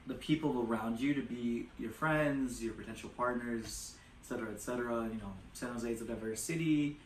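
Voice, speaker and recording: A man's voice: medium pitch, slight background noise. A young man answering interview questions in a casual, loose way. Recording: roomy, distant from the mic.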